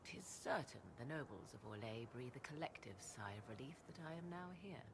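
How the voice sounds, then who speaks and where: An actress playing a proud, enigmatic sorceress in a video game, speaking in theatrical, archaic and formal phrases. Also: female voice, rumbling low, animated, moderate pace, vocal fry, noisy background, full character voice. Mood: wry, reflective. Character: proud, enigmatic apostate sorceress, arcane advisor. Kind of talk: video game dialogue acting.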